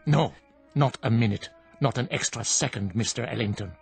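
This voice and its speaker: An actor playing a stern monk who speaks calmly and never gives ground, in a radio drama. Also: male voice, medium pitch, gravelly, natural voice. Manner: calm, measured, unyielding. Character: stern monk of a remote religious order. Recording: radio play with music behind.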